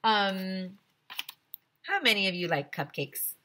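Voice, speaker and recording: A woman's voice: medium pitch, expressive, slow, sharp tone, a little hiss or hum. A woman teacher reading a children's story aloud expressively, with chatty asides to young listeners.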